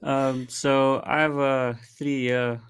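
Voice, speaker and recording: A man's voice: medium pitch, little pitch movement, very slow, clear, resonant tone. A man working as an audio editor, answering interview questions in a plain, matter-of-fact way with some fillers.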